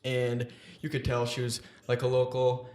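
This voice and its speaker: A man's voice: mid-range voice, completely flat delivery, normal speed, a little mellow. A young man hosting a podcast interview, talking hesitantly with many fillers. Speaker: podcast host.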